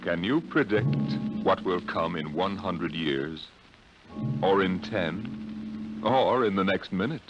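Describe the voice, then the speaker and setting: A deep-voiced man announces in the grand manner of 1950s radio. He takes his time, and his voice swoops widely between low and high as he builds suspense. Loud dramatic music plays behind him. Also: lively intonation, lo-fi sound. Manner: slow, booming, theatrical delivery.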